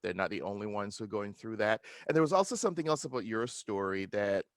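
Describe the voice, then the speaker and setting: Male host, low-pitched, relaxed conversational interviewer, dry close mic. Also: fast talker, no room sound. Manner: relaxed, chatty, with fillers.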